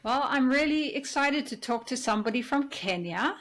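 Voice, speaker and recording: A woman's voice: high-pitched, lively intonation, normal speed, a bit bright. A woman hosting a podcast interview, asking questions in an upbeat, friendly way. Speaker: podcast host. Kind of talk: interview host.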